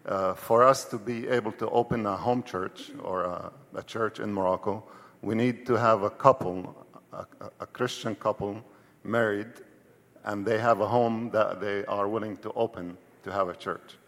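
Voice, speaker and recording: Male missionary guest, fairly deep, halting explainer, reverberant hall sound. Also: steady tone, creaky, a bit bright. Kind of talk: explanatory interview answer. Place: indoor church hall.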